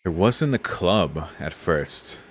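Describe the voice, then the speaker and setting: A man's voice: low-pitched, lively intonation, slow pace, a bit bright. A man streaming a game, reading its text aloud and commenting casually in a laid-back, musing way. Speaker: let's-play streamer.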